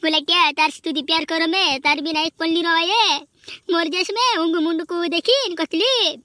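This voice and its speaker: A performer voicing a heartbroken, melodramatic cartoon lover in a squeaky, whining voice. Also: normal speed, sharp tone, no room sound, studio quality. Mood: wounded, pleading. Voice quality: squeaky, artificially high voice. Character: heartbroken cartoon lover wailing over betrayal, squeaky and dramatic. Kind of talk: amateur comedy cartoon dub.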